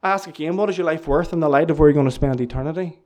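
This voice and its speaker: A man preaches earnestly at church, repeating his key lines for emphasis. His voice sits high for a man. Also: very fast, darkish tone. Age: 20s. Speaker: lay preacher giving testimony. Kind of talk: church testimony and sermon.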